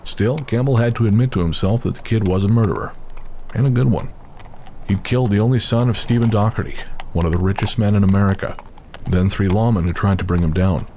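A man narrating a western horror story in a measured, steady way. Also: lowish voice, a little hiss or hum.

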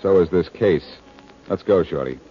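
An actor playing a wry, confident amateur detective in a 1940s radio drama, self-assured and quick with a wisecrack. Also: male voice, low voice, over loud music, close to an everyday voice. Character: wry, confident amateur sleuth, adult man. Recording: dull, noisy vintage radio recording.

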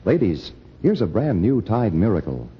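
A man delivering an emphatic vintage radio sales pitch as a commercial announcer. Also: big pitch swings, normal speed, heavy background noise. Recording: muffled old radio recording.

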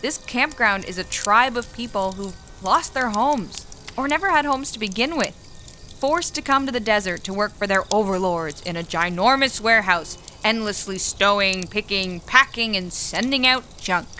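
An American actress playing a young, cynical warehouse picker, wry and argumentative, in a naturalistic audio drama. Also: female voice, mid-range voice, normal speed, pushed and bright, a little hiss or hum, close to an everyday voice. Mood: bitter, impassioned.

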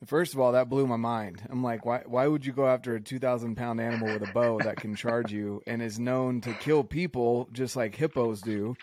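A man's voice: mid-range voice, fairly even intonation, moderate pace, few pauses, darkish tone, slight background noise. A man from the United States hosting a casual conversation, enthusiastic, often repeating words as he starts a thought.

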